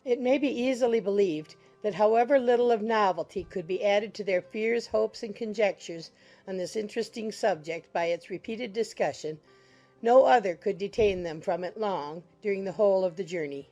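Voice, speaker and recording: A woman reading scripted literary narration for an audiobook in a measured, formal way. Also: medium pitch, warm tone, dull audio, faint music behind.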